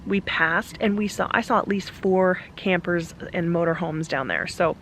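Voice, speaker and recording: Chatty woman vlogging outdoors, voice going hoarse. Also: lowish voice, fairly even intonation, moderate pace, a little hiss or hum, poor audio. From Alaska. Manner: chatty, laughs often, lots of fillers. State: losing her voice from a cough. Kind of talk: casual vlog talk to camera. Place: outdoors at a campground.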